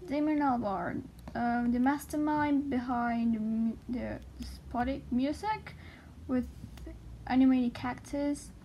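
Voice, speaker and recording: A young woman casually reads aloud and comments, halting and excitable, swearing freely. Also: high voice, slight background noise, poor audio. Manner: excitable, foul-mouthed, halting as she translates. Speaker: vlogger. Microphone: handheld phone filming the page.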